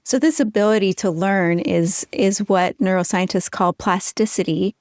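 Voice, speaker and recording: A middle-aged woman hosting a podcast, explaining ideas in a clear, measured teaching tone. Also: mid-range voice, darkish tone, no room sound, studio quality. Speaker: podcast host.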